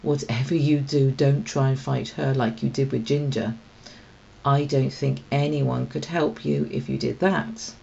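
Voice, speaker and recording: A woman's voice: extremely low pitch, fairly even intonation, unhurried, gravelly, dark tone, highs cut off, a little hiss or hum, heavily acted. A woman voicing a tough, teasing female street cat in a children's storybook, with a blunt, mocking delivery. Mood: scornful, warning. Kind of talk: children's storybook read-aloud.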